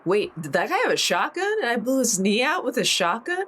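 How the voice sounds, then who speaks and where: A woman playing a tabletop game, chatting casually and reacting in an expressive, exclamatory way. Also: a little high, fairly quick, slightly rough. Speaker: player. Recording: noticeable background noise, room ambience.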